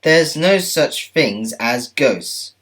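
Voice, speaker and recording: A man's voice: medium pitch, lively intonation, drawn-out words, a bit bright, pitched above the performer's own voice, exaggerated voice. A man voicing a confident, brave-faced steam engine in a children's storybook, with an assured, emphatic delivery.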